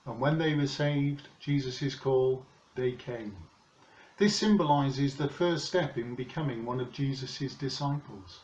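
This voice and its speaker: A man in his sixties with a British accent, giving a calm, measured, earnest sermon from his living room. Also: medium pitch, a little hiss or hum. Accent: British English. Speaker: preacher. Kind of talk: recorded home sermon. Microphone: camera in front of him, seated. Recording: roomy, slightly dull home recording.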